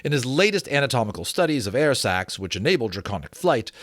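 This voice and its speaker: A man doing a dramatic, deliberate audiobook reading, mixed with casual, chatty asides to his listeners. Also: mid-range voice, big pitch swings, fairly bright tone, no echo. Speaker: reader and presenter of a book reading channel.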